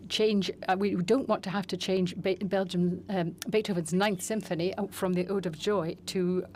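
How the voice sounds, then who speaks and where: A woman giving a simultaneous interpretation of a speech, with a steady, measured delivery and small self-corrections. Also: fairly low pitch, slight background noise. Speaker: conference interpreter.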